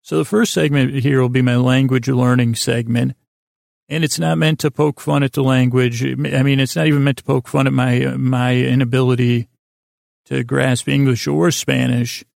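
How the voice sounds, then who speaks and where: An American man with a gravelly, creaky voice at a middling pitch, recorded close and dry. He hosts a bedtime podcast as a meandering monologue, halting, doubling back on his words and drifting off on tangents. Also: level tone, a little mellow, dry recording. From United States. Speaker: podcast host.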